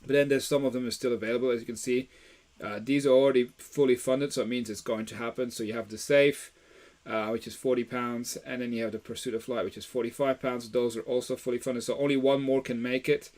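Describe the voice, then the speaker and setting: A man's voice: medium pitch, steady tone, brisk, darkish tone. A man from Belgium hosting a live stream about toy deals, talking casually with plenty of fillers and restarts. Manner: chatty, many fillers and restarts.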